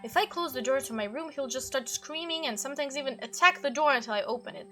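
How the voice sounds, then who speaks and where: A woman's voice: high voice, fast, over music. A woman hosting a game playthrough, reading dialogue aloud expressively and adding her own commentary. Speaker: gaming video host.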